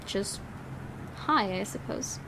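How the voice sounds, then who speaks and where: A woman's voice: takes it slowly, noisy background. A woman streamer reading a horror visual novel aloud, with joking asides between passages. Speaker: game streamer. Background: game music.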